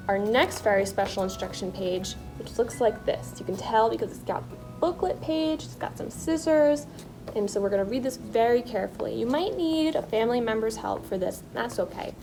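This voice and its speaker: A woman art teacher giving children simple, encouraging step-by-step instructions. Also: a little high.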